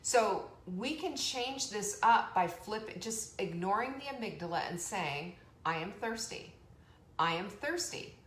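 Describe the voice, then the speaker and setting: A woman hosting a live online motivational show, chatty and energetic, laughing often and reading out viewer comments. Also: medium pitch, a little slow. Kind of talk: motivational live-stream talk. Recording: echoey room with faint background noise.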